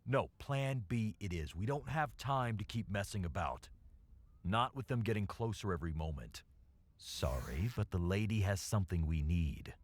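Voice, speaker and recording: An actor playing an impatient, ruthless biker giving curt orders in a video game. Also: male voice, low-pitched, expressive, moderate pace, dry recording. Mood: urgent, cold.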